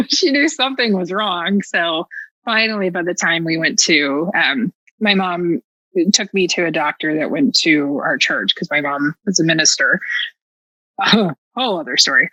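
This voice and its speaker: Candid American woman, low bright voice. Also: no echo, lo-fi sound. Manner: candid, sarcastic, self-mocking, acting out dialogue. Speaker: podcast guest. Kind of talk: casual personal storytelling.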